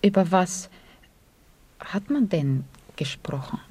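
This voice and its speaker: A woman's voice: lowish voice, expressive, slow, mellow, slightly rough, full character voice. An actress playing a demure, devoted and naive young small-town woman in a radio play, hesitant and often half-whispering. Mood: shyly proud.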